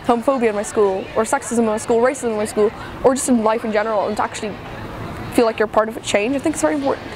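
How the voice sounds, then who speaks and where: An Irish teenage girl speaking quickly and earnestly in an interview. Her voice sits a little high. A noticeable hum runs behind her. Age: teens. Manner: quick, earnest, many fillers. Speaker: secondary school student. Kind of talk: interview soundbite.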